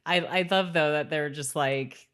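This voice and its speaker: Low-pitched woman, playful podcast co-host. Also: a little slow, a bit bright. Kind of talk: casual TV rewatch discussion with some recap narration.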